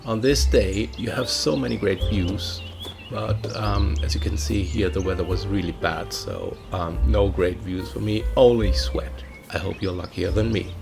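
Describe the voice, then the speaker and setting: A man in his fifties with a German accent, narrating his travel vlog in a chatty way, often pausing with uh and um. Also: on the low side, steady tone, creaky. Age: 50s. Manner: chatty, pausing with many uh and um fillers. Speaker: travel vlogger. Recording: voice with music playing underneath.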